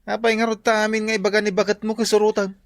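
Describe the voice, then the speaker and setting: A man's voice: sits high, level tone, quick pace, no echo, studio quality. A man playing a teasing, goading drinking buddy in an Ilocano radio drama, with an Ilocano accent. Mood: goading, mocking.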